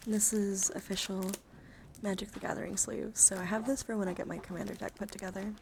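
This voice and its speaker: A woman streamer chatting in a soft-spoken ASMR style, hushed and often slipping into a whisper, close to the microphone. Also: mid-range voice, flat delivery, brisk, creaky, slight background noise. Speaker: livestreamer.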